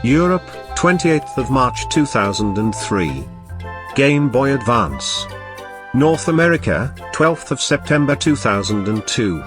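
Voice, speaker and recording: A man's voice: mid-range voice, sing-song, a little slow. A young man giving a casual game review voice-over, chatty and enthusiastic with joking asides. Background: game music playing.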